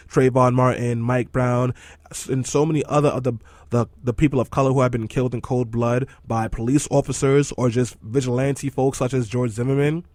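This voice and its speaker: A man with a hoarse voice co-hosting a talk radio show in a studio, joking with his co-host and then speaking seriously about the news. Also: mid-range voice, a little mellow, no echo. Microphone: studio microphone.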